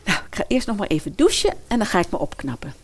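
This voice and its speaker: Teenage fairy-tale princess, played by a woman, naive, coy, hesitant chatter, comic audio drama, medium pitch. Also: sing-song, fast talker, a little hiss or hum, close to an everyday voice. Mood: chatty.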